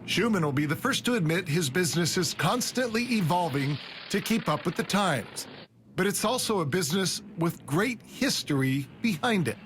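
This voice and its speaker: A man's voice: high-pitched, expressive, normal speed, fairly bright tone, a little hiss or hum. A man reporting a business feature for television in a polished, scripted news delivery.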